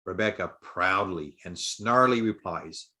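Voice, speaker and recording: A man reading a story aloud as a narrator, with measured, deliberate phrasing and dramatic pauses. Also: sits low, bright, forceful tone. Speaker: audiobook narrator.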